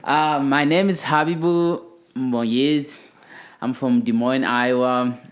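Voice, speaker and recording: A young man with a Rwandan accent, a guest on a podcast, speaking in an easygoing, halting way. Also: medium pitch, fairly even intonation. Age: young adult. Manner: halting, easygoing, non-native grammar. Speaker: podcast guest, college runner. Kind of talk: casual podcast chat.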